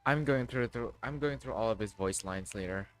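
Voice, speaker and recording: A man's voice: medium pitch, normal speed, faint music behind. A man livestreaming, giving casual reaction commentary on a game story.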